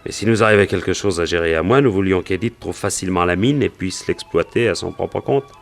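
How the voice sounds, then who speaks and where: A man's voice: deep voice, a little fast, vocal fry. An actor playing a wary, plain-spoken cowboy and gold prospector in a French radio detective drama, telling his story in colloquial speech. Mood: explaining, recalling.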